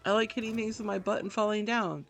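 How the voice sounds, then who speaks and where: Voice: medium pitch, fairly even intonation, fast. A game streamer giving casual, gushing and self-deprecating commentary while playing, with game music behind the voice.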